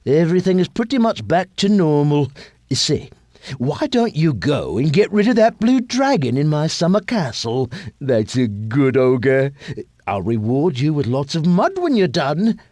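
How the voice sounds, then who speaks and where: An actor playing a theatrical, smug villainous tyrant in a video game, with pompous, gloating, drawn-out delivery. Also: male voice, on the high side, sing-song, darkish tone, no echo. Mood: smug, commanding. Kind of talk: video game cutscene acting.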